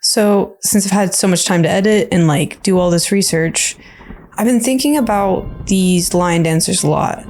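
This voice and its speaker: A woman's voice: lowish voice, normal speed, a little mellow. A woman giving casual, rambling commentary on a video game trailer in a playful, slangy, informal way. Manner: informal, playful, slangy filler-heavy speech. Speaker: video creator and host.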